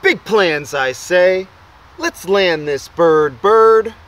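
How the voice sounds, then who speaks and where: A man voicing a bossy, boastful little boy from a storybook, proclaiming loudly and emphatically. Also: sits high, animated, extremely slow, heavily acted. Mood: boastful, commanding.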